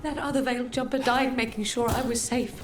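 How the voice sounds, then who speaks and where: A woman's voice: fairly high pitch, steady tone, brisk, reverberant, loud music behind the voice, close to an everyday voice. An actress playing a frightened young female elf survivor in a fantasy video game, shaken and grieving. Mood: shaken, fearful.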